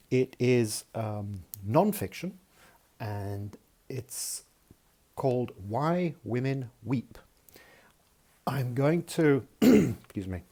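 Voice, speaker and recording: A man from Malaysia introducing and reading aloud his own non-fiction in a halting, self-deprecating way, with many fillers and restarts, over a video call. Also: mid-range voice, mellow. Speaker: author reading his work.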